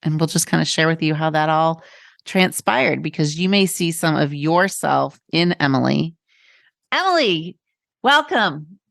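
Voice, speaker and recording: A woman's voice: low-pitched, animated, unhurried, gravelly, a bit bright, dry recording, studio quality. A woman hosting a podcast, chatting informally in a casual, conversational interview. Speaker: podcast host, coach.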